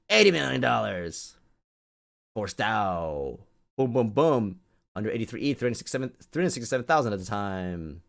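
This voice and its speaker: A man's voice: lowish voice, vocal fry, fairly bright tone. A man ranting off the cuff to an online audience as a community host, scolding and emphatic, often repeating phrases to drive them home.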